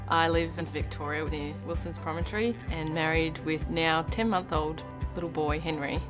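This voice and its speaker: A woman's voice: low voice, fairly even intonation, normal speed. An Australian woman in her thirties introducing herself. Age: 30s. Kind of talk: self-introduction. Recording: clear background music.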